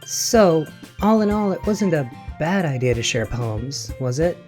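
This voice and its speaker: An actor playing a calm young male club leader in an audio drama, mediating in a measured, diplomatic way. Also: mid-range voice. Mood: gentle, conversational. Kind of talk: visual novel audio drama acting. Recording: music behind the voice.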